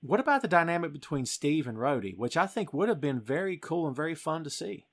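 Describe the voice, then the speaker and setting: A man's voice: fairly high pitch, big pitch swings, fairly quick. A middle-aged American man hosting a podcast, talking about film in a casual, emphatic, conversational way. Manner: emphatic, conversational, addresses listener as "dude". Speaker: podcast host.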